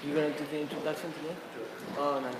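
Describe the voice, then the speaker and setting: A man greets a room of people, playful and teasing, his fairly high voice picked up from far off. The room rings with strong echo, and loud room noise sits under him. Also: flat delivery, quick pace. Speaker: guest speaker. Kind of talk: casual greeting. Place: lecture room at a meditation centre. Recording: roomy, distant, noisy room recording.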